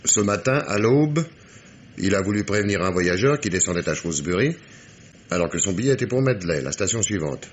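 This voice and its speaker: Radio mystery inspector: dogged and ironic, deep, lively delivery, faint recording noise. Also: male voice, brisk. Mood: deferential, reporting. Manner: ironic, emphatic, theatrically exasperated diction. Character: sardonic, dogged male Scotland Yard police inspector. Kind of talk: French radio mystery play acting.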